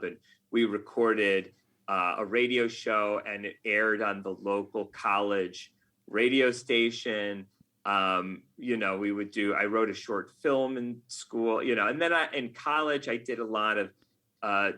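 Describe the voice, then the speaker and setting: A middle-aged man talking casually as an interview guest, often halting and rephrasing himself as he goes. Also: lowish voice, pushed and bright. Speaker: podcast guest, game writer. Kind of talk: casual interview talk, reflective anecdotes.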